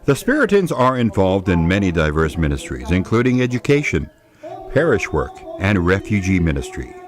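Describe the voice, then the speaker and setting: A man giving scripted documentary narration in a measured, deliberate way. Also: low voice, huge pitch swings.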